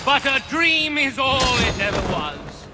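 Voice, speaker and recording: A man's voice: very high voice, very animated, measured pace, over loud music, exaggerated voice. An actor playing a scornful turncoat who taunts his former allies in a video game cutscene. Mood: taunting. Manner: sneering, taunting. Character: scornful turncoat man leading an ambush.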